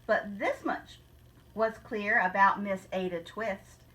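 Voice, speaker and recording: Lady teacher reading a rhyming kids book, slow n expressive, bright voice, mic a bit far away. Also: mid-range voice. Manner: deliberate, expressive storytelling. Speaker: guest teacher reading a children's storybook. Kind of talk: rhyming picture-book read-aloud.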